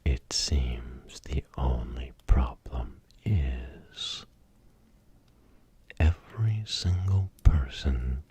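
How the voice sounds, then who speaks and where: A man playing a grumpy, wise fortune teller in an ASMR role play, whispering in a slow, theatrical, formal way. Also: rumbling low, dark tone, slightly rough, exaggerated voice. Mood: solemn, soothing. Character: grumpy wise male arcade fortune teller, Great Tingdinee. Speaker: ASMR performer.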